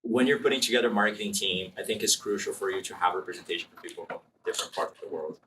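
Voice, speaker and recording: A man speaking as an interview guest in front of a student audience, relaxed and explanatory as he thinks aloud. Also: low voice, rapid-fire. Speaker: marketing executive guest. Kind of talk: casual interview answers. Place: room with a live student audience. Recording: distant room mic, faint noise.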